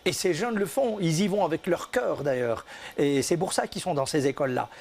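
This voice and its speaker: A man with a notably high voice speaks with conviction as a guest in a TV studio interview. He stresses his points and repeats them for weight. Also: brisk, gravelly, darkish tone. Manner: earnest, emphatic, repeats key points. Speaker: theatre director and actor.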